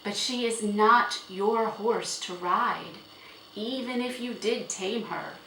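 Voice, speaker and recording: Woman voicing a firm, measured gentleman father. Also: on the high side, reverberant, slight background noise, voice pushed up, poor audio. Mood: stern, gentle. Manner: deliberate, formal, firm. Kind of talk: audiobook character acting.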